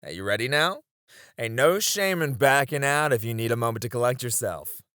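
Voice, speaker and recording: Man acting as a lively, teasing knight. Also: mid-range voice, a little fast, dry recording, studio quality, close to an everyday voice. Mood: spirited, challenging. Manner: playful teasing with frequent chuckles. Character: confident teasing male knight, old comrade and sparring partner. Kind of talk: ASMR romance audio roleplay, one-sided dialogue.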